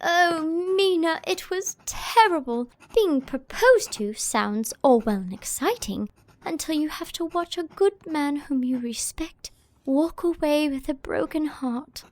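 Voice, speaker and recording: A woman playing a sweet, excitable young Victorian lady who speaks politely and effusively in an audio drama. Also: high voice, a little slow. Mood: wistful, dramatic. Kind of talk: audio drama letter narration and dialogue.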